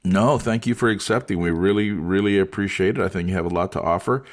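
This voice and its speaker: A man's voice: low-pitched, quick pace. A man hosting a podcast interview, talking in a casual, friendly way with small jokes. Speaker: podcast host.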